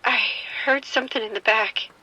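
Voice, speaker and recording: An actress playing a frightened woman who has been bitten and is falling ill, speaking in shaken, halting bursts in an audio drama. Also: a little high, sharp tone, low-quality recording. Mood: sick, fading. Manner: shaken, halting, breathy fear.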